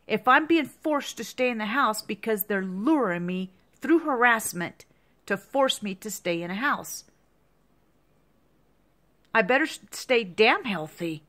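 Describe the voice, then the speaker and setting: A woman's voice: on the high side, animated, a little slow, a bit bright. A woman in her fifties in an agitated, repetitive rant to the camera, heavy with profanity. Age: 50s. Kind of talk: angry monologue to camera.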